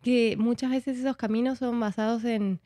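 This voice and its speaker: A woman speaking as a guest in a reflective interview, expressive and conversational, often voicing bits of dialogue as she talks. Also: fairly high pitch, moderate pace. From Argentina.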